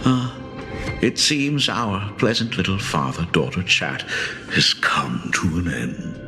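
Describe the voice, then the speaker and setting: Sinister game villain impostor, low gravelly voice, super drawn out, mocking and theatrical. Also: male voice, very animated, music in the background, heavily acted. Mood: gloating, menacing. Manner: theatrical, slow, taunting. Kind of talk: video game voice acting.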